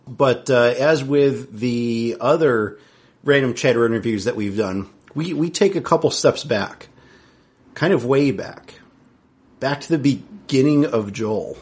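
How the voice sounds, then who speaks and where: A man's voice: mid-range voice, measured pace, darkish tone. A man from Central New York hosting a podcast interview, friendly and chatty, often repeating words as he starts a sentence. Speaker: podcast host. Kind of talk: casual interview chat.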